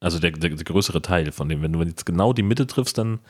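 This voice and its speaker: A man's voice: low voice, animated, a little fast, a little mellow. A man in a casual film discussion as a podcast host, talking in a colloquial, joking way.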